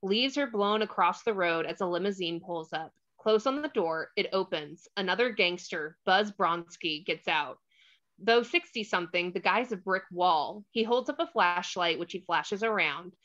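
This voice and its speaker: A woman's voice: lowish voice, expressive, moderate pace, a bit bright, lo-fi sound. A woman narrating the stage directions of a screenplay in a scripted read. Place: remote online table read.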